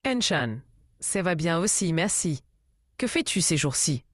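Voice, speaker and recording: A woman reading lesson phrases slowly and with careful enunciation. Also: on the low side, big pitch swings. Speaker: recorded lesson voice. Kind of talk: clear slow lesson reading.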